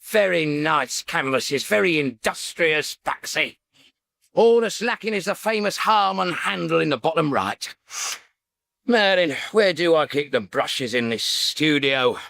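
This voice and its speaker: An actor playing a tipsy, self-satisfied bohemian painter in a radio drama, rambling and muttering to himself in a creaky voice. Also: male voice, on the high side, animated, unhurried, bright, forceful tone, no echo, heavily acted. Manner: rambling, mumbling to himself, drawling.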